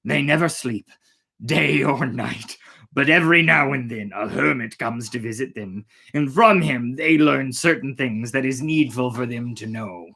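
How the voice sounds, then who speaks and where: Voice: mid-range voice, unhurried, gravelly, pushed and bright, slightly rough, heavily acted. A storyteller voicing a wise, loyal magical horse who gives advice in a fairytale. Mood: solemn, advising. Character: magical talking horse, sage loyal adviser.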